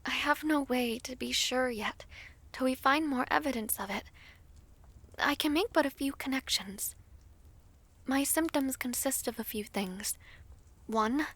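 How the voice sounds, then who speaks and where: Woman acting a high-voiced, pensive young fantasy princess. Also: a little slow, long pauses, no room sound, heavily acted. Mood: anxious, pondering. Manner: soft, pensive, formal old-fashioned speech. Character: gentle young fantasy princess, half dragon, weary and anxious. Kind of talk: audio roleplay drama acting.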